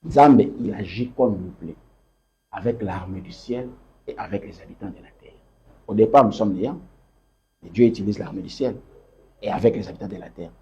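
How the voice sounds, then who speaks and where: Man, Congolese French accent, low mellow voice, emphatic and repetitive, sermon-like interview answers, muffled recording. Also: normal speed, long pauses, slight background noise. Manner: emphatic and repetitive, quotes scripture and mixes in Lingala. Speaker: political party leader. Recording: dull lo-fi recording.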